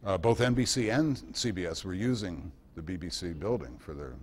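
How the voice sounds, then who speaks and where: Low-voiced man giving an informal talk. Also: lively intonation, moderate pace, darkish tone, husky. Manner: rambling, conversational storytelling with wry asides. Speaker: guest speaker giving a history talk. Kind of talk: informal lecture with anecdotes. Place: club meeting room.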